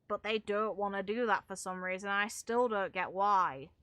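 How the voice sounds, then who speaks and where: Bright-voiced woman giving blunt gaming commentary. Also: mid-range voice, moderate pace, no room sound. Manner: blunt, informal, mild swearing. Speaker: gaming video creator and streamer. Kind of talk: opinionated casual game commentary.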